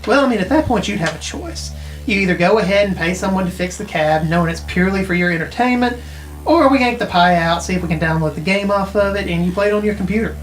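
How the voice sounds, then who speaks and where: A woman's voice: medium pitch, quick pace, soft background music, lightly acted, poor audio. An actress playing a tech-savvy woman who explains things informally and hedges as she goes, in naturalistic audio drama dialogue. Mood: explanatory, intrigued. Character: tech-savvy, casual woman who knows retro arcade games. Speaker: character in a mystery audio drama.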